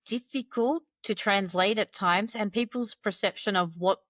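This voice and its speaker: A woman hosts the chat, firing off playful, nosy questions in a chatty way. Her middle-pitched voice comes through a close, dry mic. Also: moderate pace, a bit bright, no echo. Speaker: podcast host. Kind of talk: casual interview chat.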